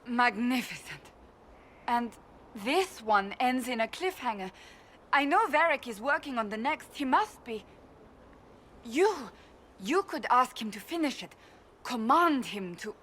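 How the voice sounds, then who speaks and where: Stern woman warrior, slightly high voice, faint background hiss, game cutscene. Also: a bit bright. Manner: stern, flustered. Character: stern woman warrior, secretly a romance reader. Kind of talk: video game voice acting.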